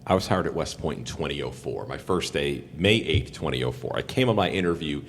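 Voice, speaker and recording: A man's voice: expressive, a little fast. A man interviewing on a podcast as a sports broadcaster, with a polished, promotional delivery. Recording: rumbling background noise, long reverberant room.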